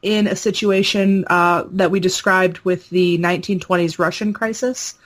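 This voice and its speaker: A woman's voice: on the low side, fairly even intonation, normal speed. A woman in casual comedic chat, wry and self-deprecating, given to exaggeration. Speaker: podcast guest.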